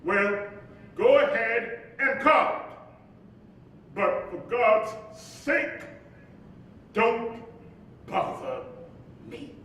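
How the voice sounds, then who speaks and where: An actor playing a weary, embattled civil rights leader and husband in a staged play, speaking emphatically like an orator. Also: male voice, very slow, long pauses, bright, forceful tone, heavily acted. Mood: angry. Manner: oratorical, emphatic, stammering when troubled. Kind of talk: staged play reading, dramatic monologue and dialogue. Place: live staged reading in an echoey hall. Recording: echoey live hall, distant mic, rumbly noise.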